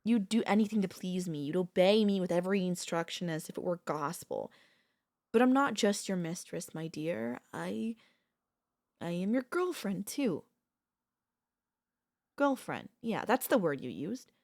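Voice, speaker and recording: Centuries-old vampire girlfriend played by a woman: soft-spoken, courtly, possessive yet tender, lowish voice, close dry ASMR sound. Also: animated, vocal fry, dry recording. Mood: tender, confiding. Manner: intimate, soft-spoken, hesitant pauses, old-fashioned courtly wording. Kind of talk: ASMR audio roleplay. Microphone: close studio microphone, dry.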